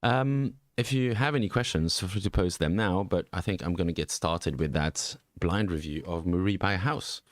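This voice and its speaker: Very deep-voiced man with a Swiss French accent. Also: moderate pace, hardly any pauses, dry recording. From French-speaking Switzerland. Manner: rambling, thinks aloud with many fillers and restarts. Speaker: NFT market analyst host. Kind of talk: casual screen-share commentary.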